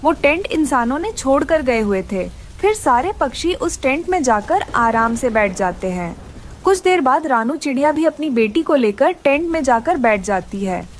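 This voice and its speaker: A woman's voice: high-pitched, big pitch swings, normal speed, slight background noise. A woman narrating a scripted children's story.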